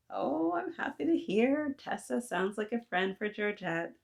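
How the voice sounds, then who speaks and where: A woman reading a children's storybook aloud, gently and expressively, with little asides to her young listeners. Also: sits low. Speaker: children's book reader.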